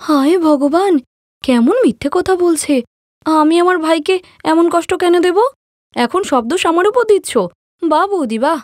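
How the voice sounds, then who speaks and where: A woman's voice: very high-pitched, moderate pace, long pauses, no room sound, studio quality, pitched above the performer's own voice. A woman voicing an arrogant, scheming young sister-in-law in a cartoon story, with an accusing, melodramatic, taunting manner. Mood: mock outrage. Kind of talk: Bengali cartoon story voice acting.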